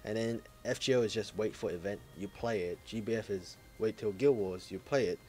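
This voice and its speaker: A man co-hosting a casual gaming podcast, relaxed and offhand, swearing casually and trailing off while he hunts for words. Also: lowish voice, a little fast, a little hiss or hum. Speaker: podcast co-host.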